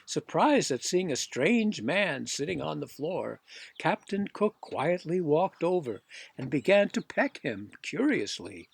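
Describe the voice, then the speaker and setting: A male reader narrates a children's story. His voice is pitched a bit above the usual for a man, and he reads the scripted text expressively. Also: very animated, unhurried, vocal fry, breathy edge. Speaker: audiobook reader.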